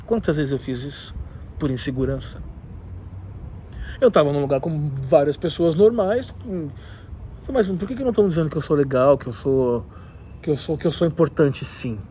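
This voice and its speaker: A man's voice: fairly high pitch, normal speed, slight background noise. Opinionated man with a cold. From southern Brazil. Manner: animated, opinionated, frequent asides. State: has a cold. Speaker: video host. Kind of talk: casual vlog commentary. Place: rooftop leisure area, São Paulo.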